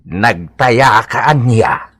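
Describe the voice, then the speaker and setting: A man playing a stern old Ilocano father in a radio comedy drama, admonishing with drawn-out questions. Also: medium pitch, expressive, a bit bright, breathy edge, heavily acted. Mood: inquiring. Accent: Ilocano Filipino. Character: stern, scolding old father disapproving of gambling.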